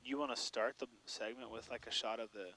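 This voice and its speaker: A man's voice: mid-range voice, steady tone, fairly quick, sharp tone. A man on a TV studio crew talking casually through work details.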